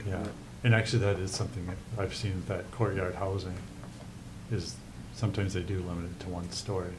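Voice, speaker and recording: A man with a very low-pitched voice takes part in a meeting discussion. He speaks informally. The recording carries clear background noise. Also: flat delivery, fairly quick. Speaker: public meeting participant. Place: indoor meeting room. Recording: noisy meeting room recording.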